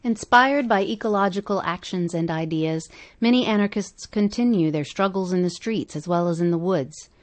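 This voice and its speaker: A woman podcast host reading scripted narration in measured, emphatic phrases. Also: on the low side.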